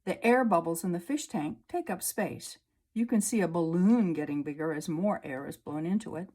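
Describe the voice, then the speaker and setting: A woman teacher reading a children's science book aloud, slowly and clearly, at a table indoors. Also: fairly low pitch, darkish tone. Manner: slow, clear storybook reading. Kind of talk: read-aloud lesson for children.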